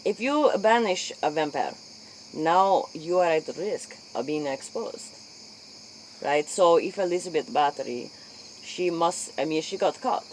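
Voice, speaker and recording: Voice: mid-range voice, expressive, unhurried, poor audio. An inquisitive interviewer plays out a spoof late-night chat. The voice sits mid-range and speaks a put-on, foreign-sounding broken English. Faint noise with crickets and insects runs behind it.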